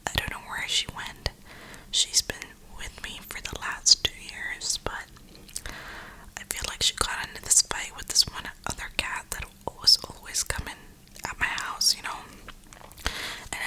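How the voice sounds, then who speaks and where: A young woman rambling casually in a soft, breathy ASMR whisper, with informal, slangy phrasing. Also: rough recording. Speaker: ASMR gaming streamer.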